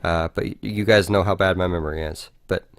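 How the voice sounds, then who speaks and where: A man's voice: sits low, fairly even intonation, brisk, fairly bright tone, dry recording, studio quality. A man co-hosting a podcast in casual chat, rambling and hesitant, with a self-deprecating manner. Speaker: podcast co-host.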